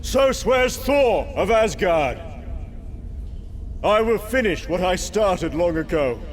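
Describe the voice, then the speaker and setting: A man's voice: high voice, expressive, slow pace, a little hiss or hum, pitched above the performer's own voice. A man playing a proud, vengeful warrior thunder god in a comic book drama, declaiming solemnly and defiantly. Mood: vengeful resolve. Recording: dramatic music behind the voice.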